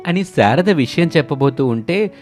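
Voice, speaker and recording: A man tells a cartoon story as scripted narration. His voice sits at a middle pitch. Music plays underneath. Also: lively intonation, moderate pace, a little mellow.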